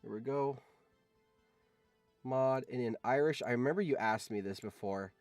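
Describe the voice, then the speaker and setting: A man live-streaming as host, reading out game results with animated, excitable commentary and surprised exclamations. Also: medium pitch, moderate pace, no echo, soft background music.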